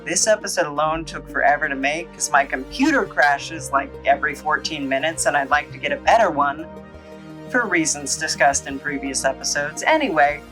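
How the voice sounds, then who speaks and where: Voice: medium pitch, fairly bright tone. A playful, tongue-in-cheek host giving a casual review with asides. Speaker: host of a comic book review show. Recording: echoey, distant microphone, music underneath. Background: music.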